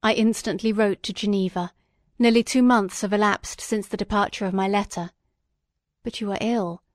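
A woman voicing a benevolent male magistrate in a storybook, measured and formal. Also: medium pitch, fairly even intonation, dry recording, studio quality, close to an everyday voice. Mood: considerate. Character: benevolent male magistrate, gentle but sometimes severe.

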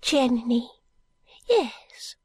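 A woman voicing an eccentric, fluttery elderly lady who talks in slow, breathy, confiding whispers, her pitch rising and falling a great deal. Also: sing-song, dry recording, exaggerated voice.

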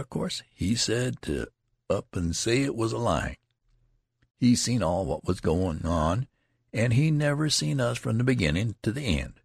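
An indignant young soldier acted by a man narrating a story grumbles and brags in rough country grammar. The voice is pushed higher, lively in pitch and a bit husky. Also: dry recording, studio quality, exaggerated voice. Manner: grumbling, rustic ungrammatical talk. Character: aggrieved young male soldier, indignant and boastful.

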